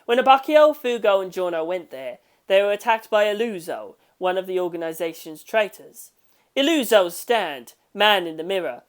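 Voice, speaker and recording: A woman reading a scripted dramatic story as its narrator. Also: medium pitch. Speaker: audiobook narrator of fan fiction.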